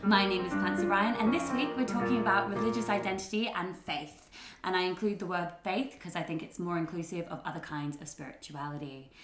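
A woman hosting a casual panel discussion, chatty and informal as she tells stories in an animated way. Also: lowish voice, brisk, hardly any pauses, soft background music. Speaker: podcast host. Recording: echoey room.

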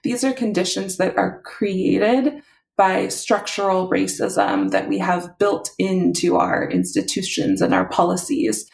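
Female policy guest, measured delivery, gravelly voice. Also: medium pitch, low-quality recording. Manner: steady, articulate, methodical. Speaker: health policy advisor, podcast guest.

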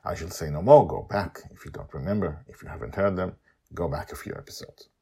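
A man hosting a story podcast, narrating in an animated, theatrical and emphatic way. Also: low-pitched, fairly quick, slightly rough.

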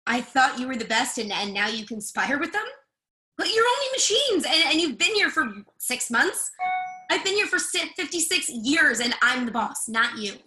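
A woman's voice: sits high, big pitch swings, moderate pace, sharp tone, soft background music, heavily acted, rough recording. A woman playing a harried, imperious professor in her fifties in a play reading, demanding and easily exasperated. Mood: indignant.

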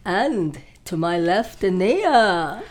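A woman's voice: lowish voice, very animated. A woman hosting a panel talk show, introducing guests and reading a quoted statement. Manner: slow, deliberate, affirming interjections.

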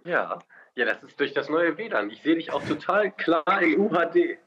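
A man's voice: a little high, very animated, normal speed, a bit bright. A man co-hosting a podcast remotely by phone, joking and teasing in casual banter. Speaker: podcast co-host. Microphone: phone over a messaging app. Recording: dull lo-fi phone audio.

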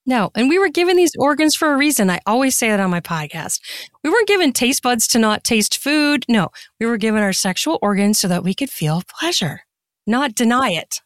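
A woman's voice: fairly high pitch, big pitch swings, brisk, no room sound, studio quality. A woman chatting casually and candidly as an interview guest, with an earnest way of making her points. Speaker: podcast guest, writer and podcaster.

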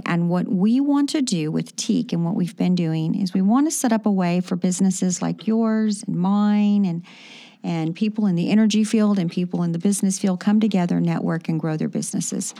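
A woman's voice: lowish voice, dark tone, dry recording, studio quality. A woman hosting a radio talk show, promoting her products with enthusiasm and lots of fillers. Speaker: radio show host and magazine publisher.

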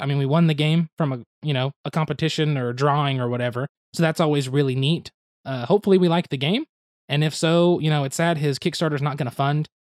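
A slightly hoarse man from Oklahoma, co-hosting a podcast in easygoing, rambling casual chat. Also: a little high, no room sound, clean studio sound. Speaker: podcast co-host.

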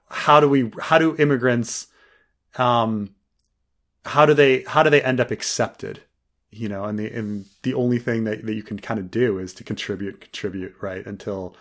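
Male podcast co-host, casual chatty review, filler-heavy and meandering. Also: lowish voice. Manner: rambling, many fillers and restarts; laughs while joking.

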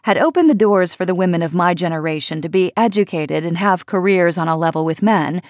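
Low-pitched woman narrating, very dry sound. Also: a little fast, darkish tone, no room sound. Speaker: audiobook narrator reading an essay. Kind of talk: scripted narration, personal essay audiobook.

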